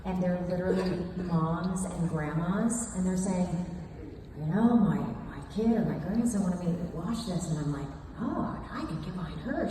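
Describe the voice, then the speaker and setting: Middle-aged woman panelist, chatty and upbeat, distant mic in a big echoing hall with crowd noise. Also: on the high side. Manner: chatty, enthusiastic, laughing often. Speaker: voice actor panelist. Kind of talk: convention panel talk. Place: large convention hall. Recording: echoey hall from far off, noisy.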